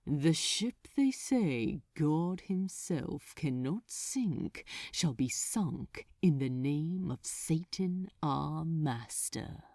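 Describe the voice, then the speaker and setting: A woman voicing a commanding male demon lord in an audiobook, solemn and grandiose, with a hissing, softly alluring delivery. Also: deep voice, lively intonation, drawn-out words, hardly any pauses, no echo, studio quality, exaggerated voice. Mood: ominous.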